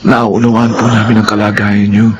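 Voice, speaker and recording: Polite young son-in-law played by a man, deferential and hesitant, lowish voice, radio drama. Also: flat delivery, normal speed, dull audio, background noise, natural voice. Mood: respectful. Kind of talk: Filipino radio drama acting.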